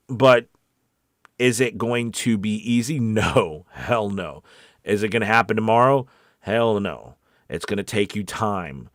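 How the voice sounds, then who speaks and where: Guy with a lowish voice, dry close sound, coach doing a casual q&a, honest and encouraging, kinda repeats himself. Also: dry recording. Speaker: voice actor and coach hosting a live Q&A. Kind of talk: casual, off-the-cuff advice talk.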